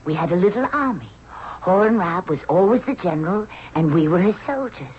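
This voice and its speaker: An actress playing a strong-willed, frank young princess in an old-time radio drama. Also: female voice, lowish voice, expressive, brisk, close to an everyday voice. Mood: soothing, frank. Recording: muffled radio recording with clear noise.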